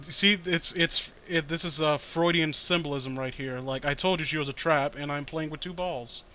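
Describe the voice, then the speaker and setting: A man's voice: a little high, moderate pace, sharp tone. A man co-hosting a casual comedic read-along, with a sarcastic, self-deprecating way of talking. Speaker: co-host reading a comic aloud.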